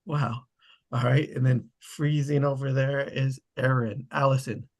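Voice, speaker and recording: A man with a slightly husky voice, casually hosting an online table read and narrating the stage directions. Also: medium pitch, fairly even intonation, a little slow, darkish tone. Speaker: host and stage-direction reader. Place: online video call.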